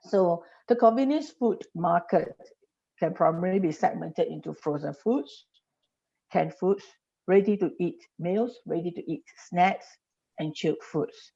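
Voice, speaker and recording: Gravelly low woman explaining. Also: a little slow, dark tone. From Singapore. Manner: explanatory, stacks examples, frequent restarts. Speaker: industry guest. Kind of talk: podcast interview. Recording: dull lo-fi podcast audio.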